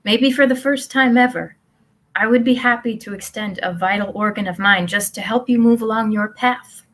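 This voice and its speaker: Woman performing as supportive middle-aged mother, higher pitch, placid, reassuring, doting, comedic stage reading. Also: normal speed, a bit bright. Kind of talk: live online play reading.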